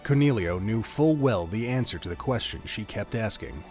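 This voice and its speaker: A man's voice: on the low side, expressive, moderate pace, a little mellow, soft background music. A man reading scripted third-person narration as the storyteller of an audio drama.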